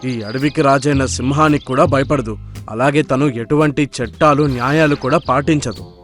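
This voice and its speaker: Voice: mid-range voice, moderate pace, soft background music, natural voice. A man voicing a worried, protective father deer in a cartoon, giving earnest warnings. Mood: cautioning. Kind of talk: cartoon character voice acting.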